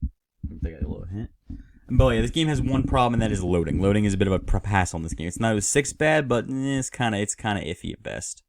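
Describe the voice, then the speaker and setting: A man's voice: fairly low pitch, animated, fast, creaky, no room sound. A man giving casual, informal and opinionated let's play commentary as the host of a gaming video.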